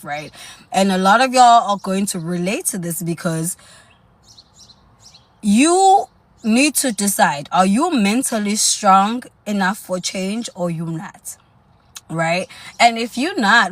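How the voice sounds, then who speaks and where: A woman's voice: mid-range voice, no echo. Sassy South African woman live streaming. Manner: sassy, calls viewers honey and girl. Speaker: dating lifestyle live streamer. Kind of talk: casual live stream chat.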